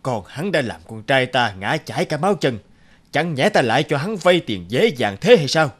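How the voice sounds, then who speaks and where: A man voicing a cruel, vengeful village rich man in an animated folk tale, sneering and imperious. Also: medium pitch, normal speed. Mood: scornful, scheming.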